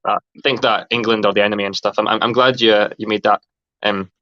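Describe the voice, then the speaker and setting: A man from northern Scotland with a light Scottish accent, hosting a casual livestream chat and talking haltingly with many fillers and restarts. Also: fairly low pitch, steady tone, dry recording. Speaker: host. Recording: dull, muffled livestream audio.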